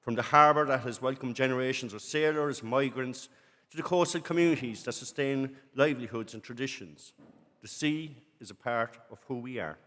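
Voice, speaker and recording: A man of middle pitch giving a ceremonial welcome speech in a formal, scripted way. His voice carries the long, echoing reverb of a large hall. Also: moderate pace, fairly bright tone. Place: large city hall with an audience.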